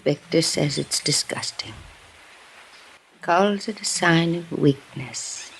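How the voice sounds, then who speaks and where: Brief: withdrawn older invalid for old-time radio, slow gravelly drawl, hissy broadcast. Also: female voice, low voice, low-quality recording. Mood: dreamy, fragile. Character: older invalid wife, drinking, vacant and fragile. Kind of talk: 1950s radio drama acting.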